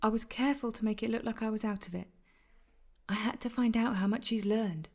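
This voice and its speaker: Secretive uneasy woman plotter, audio drama, hushed n dark mellow, highish voice. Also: fairly even intonation, fairly quick. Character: secretive, reluctant woman conspirator feigning illness in hospital.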